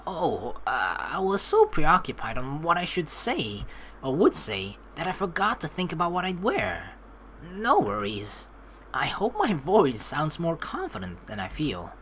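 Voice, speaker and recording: Female let's play host: low pitch, very animated delivery, informal narration and asides. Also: a little slow, a little hiss or hum. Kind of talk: gameplay commentary, reading game text aloud.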